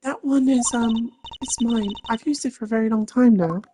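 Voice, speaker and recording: Woman putting on a high, flustered flight attendant. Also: normal speed, dark tone, a little hiss or hum, lightly acted. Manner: polite, flustered, stammering. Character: earnest, easily wounded female flight attendant, high-pitched and flustered. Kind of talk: video game dialogue voice acting.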